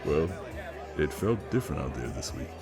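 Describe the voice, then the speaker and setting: A man playing a steady senior agent who keeps the peace in a drama, measured and calming. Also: extremely low pitch, music in the background, a crowd in the background, heavily acted. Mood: easygoing. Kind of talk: dramatic dialogue.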